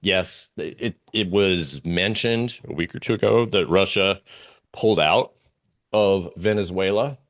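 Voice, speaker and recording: A man giving unscripted analysis as an interview guest, talking through slides in a halting, rambling way with pauses and repeated phrases. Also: low-pitched. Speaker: interview guest, geopolitical oil trading analyst.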